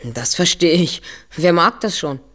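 A woman's voice: fairly low pitch, a little slow, a bit bright. A woman playing a precocious, self-assured boy detective in an audio drama, articulate and formally polite. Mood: polite, probing. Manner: articulate, polite, somewhat pompous wording. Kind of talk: audio drama dialogue acting.

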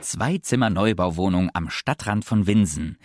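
An actor playing a droll storyteller who narrates a radio play with wry descriptions. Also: male voice, low-pitched, lively intonation, moderate pace, darkish tone, slight background noise. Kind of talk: scripted narration.

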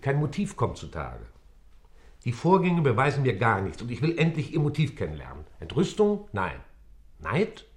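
Impatient interrogator, medium-pitched, highly animated intonation, curt, skeptical, radio drama. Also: male voice, normal speed. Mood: exasperated. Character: stern, impatient male interrogator demanding a motive. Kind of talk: German radio play dialogue.

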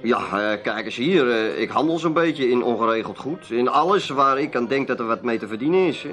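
Male actor as small-time trader for radio drama: folksy, slippery, chatty, mid-pitched. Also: animated, brisk, fairly bright tone, soft background music, natural voice. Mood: guarded, chatty. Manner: folksy, hesitant, tag-heavy talk. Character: chatty, evasive male dealer in odds and ends.

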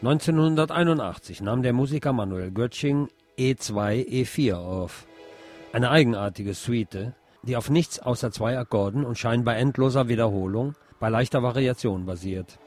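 A man's voice: mid-range voice, normal speed, a little mellow. Radio man over music. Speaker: radio host. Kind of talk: scripted radio narration. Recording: music behind the voice.

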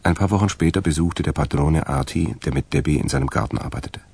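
A man narrating a radio crime drama from a script in a gravelly voice. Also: very deep voice, fast talker, darkish tone. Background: music.

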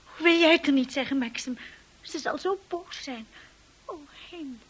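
A woman's voice: high voice, moderate pace, natural voice. An actress playing a shy, earnest young lady's companion in a Dutch radio drama, hesitant and emotional in delivery. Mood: loving, anxious.

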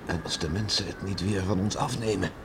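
Low-voiced, earnest beachcomber father. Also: brisk. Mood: tender. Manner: emphatic, repeating himself. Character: earnest beachcomber father, rough and deeply moved. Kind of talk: Dutch radio drama acting. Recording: noisy old radio play recording.

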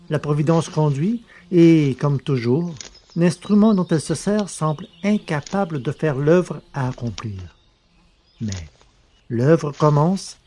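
Slow, solemn, mellow male audiobook narrator. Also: fairly high pitch. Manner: solemn, declamatory, measured. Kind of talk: literary audiobook narration of an old text.

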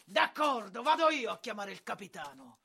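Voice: medium pitch, big pitch swings, moderate pace, gravelly, pushed and bright, full character voice. A performer in a radio play acting a crude, gloating soldier, sneering and argumentative. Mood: bickering. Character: gruff, gloating, squabbling soldier looting houses.

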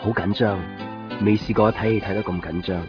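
Actor as earnest low-voiced young Cantonese man. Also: fairly even intonation, brisk, lightly acted. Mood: nervous. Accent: Hong Kong Cantonese. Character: earnest, lovestruck young man courting a woman. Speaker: radio drama actor. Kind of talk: naturalistic 1990s radio drama dialogue and inner monologue. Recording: muffled 1990s radio drama, music underneath.

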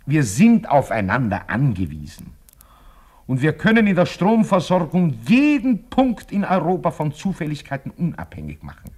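Animated, higher-voiced lecturing expert. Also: male voice. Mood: impassioned. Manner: rhetorical, emphatic, didactic. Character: impassioned male expert lecturing on European electricity. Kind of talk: 1950s radio drama oratory.

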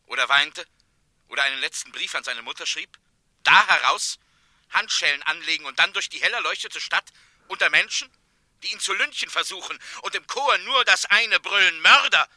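A cold, officious governor in a 1960s radio play. The voice is very bright and tense, set a little high and closely recorded. He declaims with stern, precise indignation. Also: no echo. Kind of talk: 1960s German radio drama acting.

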